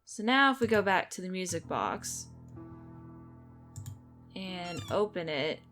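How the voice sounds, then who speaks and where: A woman's voice: lowish voice, very animated, slow pace, fairly bright tone. A woman giving casual gameplay commentary, thinking aloud as she goes. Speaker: video game streamer. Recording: game music behind the voice.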